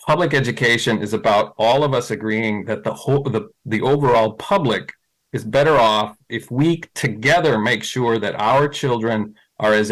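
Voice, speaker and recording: A man's voice: fairly low pitch, fairly bright tone, rough recording. A middle-aged man answering questions as a school board candidate, thoughtful, with many fillers and restarts.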